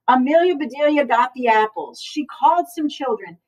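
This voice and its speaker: A middle-aged woman reading aloud at children's story time in an expressive, teacherly manner. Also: high-pitched, moderate pace. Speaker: school librarian reading aloud. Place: school library, indoors. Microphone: far camera. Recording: distant camera microphone.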